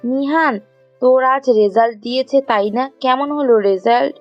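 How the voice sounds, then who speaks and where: A woman performs a caring mother in an audio story, firm yet forgiving with her teenage boy. She gently chides and encourages. The sound is dry and slightly muffled, with soft music behind. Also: high voice, normal speed, no echo, close to an everyday voice. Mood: concerned, questioning. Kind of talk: Bengali audio story acting.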